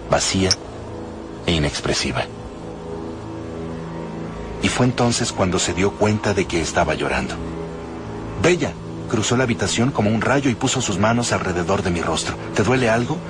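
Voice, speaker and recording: A man narrating an audiobook with measured, dramatic storytelling. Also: low-pitched, lively intonation. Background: music.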